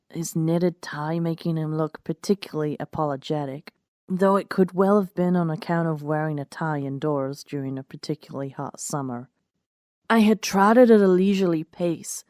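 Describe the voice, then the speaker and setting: A woman's voice: lowish voice, dark tone, dry recording, clean studio sound. A woman narrating a scripted first-person story as the host of a reading series.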